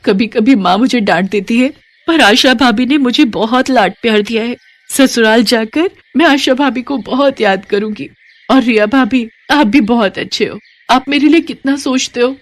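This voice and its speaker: A woman's voice: a little high, normal speed, natural voice. A woman voicing a sweet, affectionate young bride in a moral story, speaking tenderly and earnestly. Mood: tender, grateful. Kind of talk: animated moral story voice acting.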